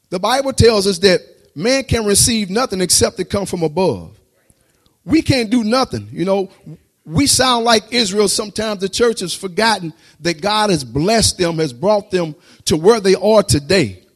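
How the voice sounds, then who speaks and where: A man preaching a sermon, reading scripture slowly before preaching with rising intensity. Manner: reads scripture slowly, then preaches rising in pitch and loudness.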